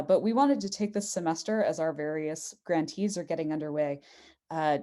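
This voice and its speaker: A woman's voice: low voice, warm tone. A woman giving the opening remarks for an online panel, earnest and sprinkled with fillers. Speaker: event organizer, host. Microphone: video call.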